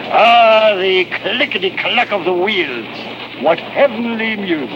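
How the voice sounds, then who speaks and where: An actor playing a genial, sly European smuggler in a 1950s adventure drama, florid, courteous and playfully confiding. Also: male voice, sits high, takes it slowly. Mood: contented. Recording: dull vintage soundtrack, loud train-like noise.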